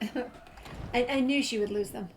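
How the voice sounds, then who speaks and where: A woman's voice: fairly high pitch, slow, slight background noise. A woman giving casual, wry commentary as she plays a video game, muttering reactions to what happens. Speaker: gamer narrating her playthrough. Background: video game ambience.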